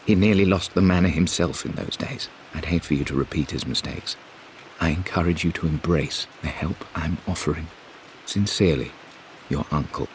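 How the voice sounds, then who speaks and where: A man's voice: very deep voice, normal speed, slight background noise, poor audio. An actor playing a stern upper-class man, reading an admonishing family letter aloud in a formal manner for a video game. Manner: formal, reproving. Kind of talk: dramatic game letter narration.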